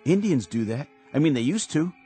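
Radio drama role: actor as young superstitious private, earnest and nervy, highish animated male voice. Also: moderate pace, close to an everyday voice. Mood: uneasy, earnest. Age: young adult. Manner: earnest, anxious, talkative. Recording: radio drama with music underneath.

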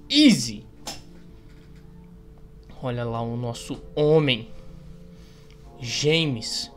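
A man's voice: medium pitch, drawn-out words, soft background music. A young man with a Brazilian accent giving playful, slangy, casual gameplay commentary as a YouTuber. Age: young adult. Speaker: gaming YouTuber.

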